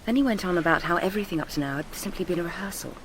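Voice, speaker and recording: An actress playing an anxious, tender young lover with an English accent, in naturalistic radio drama. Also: female voice, lowish voice, very fast, slight background noise, lightly acted, rough recording. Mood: intimate, subdued.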